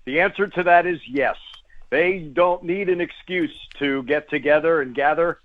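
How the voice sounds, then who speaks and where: A narrow, phone-like connection carries a man's somewhat high voice. He is a sports broadcaster giving analysis as a guest on talk radio. His delivery is conversational and emphatic, often repeating a phrase to make the point. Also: normal speed. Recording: tinny, phone-like guest line.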